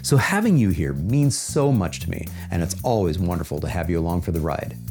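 Enthusiastic man with very lively intonation. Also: low voice, brisk, vocal fry, soft background music. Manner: effusive and enthusiastic, repeats words for emphasis. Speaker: podcast host. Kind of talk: casual interview. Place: video call recording.

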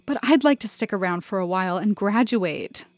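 A woman's voice: mid-range voice, animated, normal speed, no room sound, natural voice. A woman voicing a young male acting student who is guarded and answers with dry, wry quips.

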